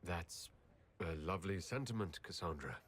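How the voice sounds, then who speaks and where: Game role: composed male hero and leader, lowish voice, lively inflection, dryly polite. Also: normal speed, husky. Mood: guarded, awkward. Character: composed, good-humoured heroic leader of an order. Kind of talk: video game cutscene acting.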